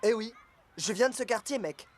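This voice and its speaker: A man's voice: sits high, normal speed, a crowd in the background, close to an everyday voice. Casual young cartoon footballer voiced by a man. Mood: encouraging. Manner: casual, slangy. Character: friendly, encouraging young male football star. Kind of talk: animated cartoon dub acting.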